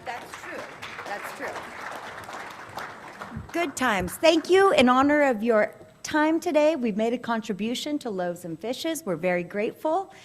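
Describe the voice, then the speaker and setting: Woman, club president, upbeat, brisk announcements, fairly high voice. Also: lively intonation, some chatter behind. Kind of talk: meeting announcements.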